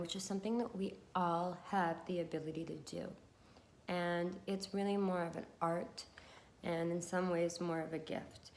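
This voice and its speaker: Congested, slightly low-voiced woman vlogger; chatty and encouraging, lots of ums. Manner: chatty, encouraging, many fillers. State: congested from a cold. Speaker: beauty vlogger and hypnotherapist. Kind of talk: casual talk to camera.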